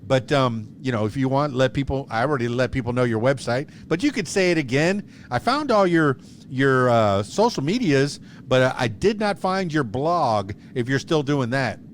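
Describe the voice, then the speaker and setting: A man in his fifties hosts a podcast in a relaxed, rambling way, joking and restarting his sentences. His voice sits at an ordinary middle pitch. A faint low rumble runs underneath. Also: normal speed. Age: 50s. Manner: rambling, jokey, with many restarts. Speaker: podcast host. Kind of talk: casual interview chat.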